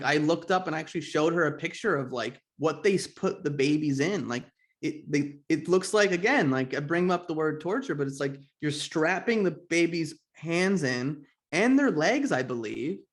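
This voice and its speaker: Rambling middle-aged male podcast host. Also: on the high side. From United States. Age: 40s. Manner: rambling, many fillers and restarts. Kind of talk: candid conversational interview.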